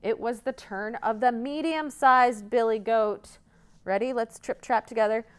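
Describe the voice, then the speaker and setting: A woman's voice: fairly high pitch, fairly even intonation, measured pace, smooth. A woman hosting a children's storytime, telling stories interactively and coaching young listeners along with questions. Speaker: children's librarian, storytime host.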